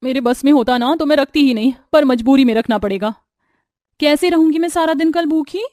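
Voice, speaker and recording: Woman acting a whiny, lazy young wife, high-pitched. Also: fairly quick, a little mellow, no echo, studio quality, lightly acted. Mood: grudging. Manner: complaining, self-pitying, wheedling. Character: lazy, whining young daughter-in-law.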